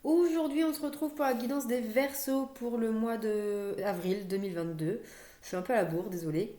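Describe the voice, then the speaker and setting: A woman's voice: fairly high pitch, normal speed, mellow. Young woman with a cold, chatty tarot reader at home, echoey computer-mic sound. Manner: chatty, many fillers and restarts. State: has a cold, coughing. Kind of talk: monthly astrology card reading. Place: home, near a stairwell.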